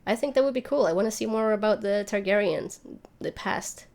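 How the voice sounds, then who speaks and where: A woman's voice: medium pitch, a little fast, darkish tone. Chatty woman livestream host. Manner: chatty and rambling, thinking aloud. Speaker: YouTube channel host. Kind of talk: casual livestream chat answering viewer questions.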